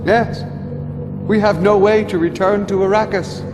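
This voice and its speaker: An actor playing a grave, servile advisor to a cruel lord in a game cutscene, formal and measured. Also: male voice, high voice, fairly even intonation. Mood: grave, dutiful. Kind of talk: video game cutscene acting. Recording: long reverb, music behind the voice.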